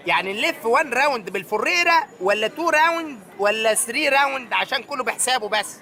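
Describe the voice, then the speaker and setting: Super high pitched egyptian cartoon hero, cocky n naive, talks real fast and slangy. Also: male voice, expressive, gravelly, pushed and bright, full character voice. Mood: cheeky, confident. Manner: cheeky, slangy, bantering. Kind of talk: comic cartoon dialogue.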